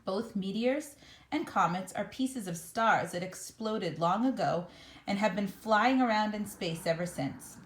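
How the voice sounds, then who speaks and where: A woman reading a children's picture book aloud in a measured, expressive storytelling manner. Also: mid-range voice. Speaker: storybook reader.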